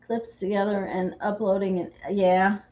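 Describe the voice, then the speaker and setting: Slightly croaky woman chatting while crafting. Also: mid-range voice, flat delivery, measured pace, highs cut off. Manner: chatty, greets viewers by name while working. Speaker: craft livestream host. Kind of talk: casual chat while crafting.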